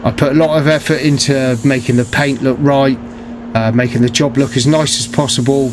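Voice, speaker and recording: A man with a cold, sounding bunged up and croaky, talking casually to camera about his paint spraying work with many ums and restarts. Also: mid-range voice, moderate pace, background noise. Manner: relaxed, hesitant, many fillers and restarts. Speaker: paint sprayer, channel host.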